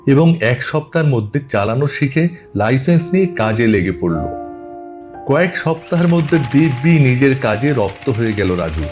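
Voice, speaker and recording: A man narrating a scripted ghost story for a cartoon as its storyteller. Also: mid-range voice.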